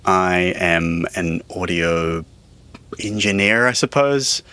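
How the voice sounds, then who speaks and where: NZ man, casual interviewee, low, bright tone, outdoors. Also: animated, slow pace. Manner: chatty, laughs often, many fillers. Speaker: interviewee, audio engineer. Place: outdoor deck.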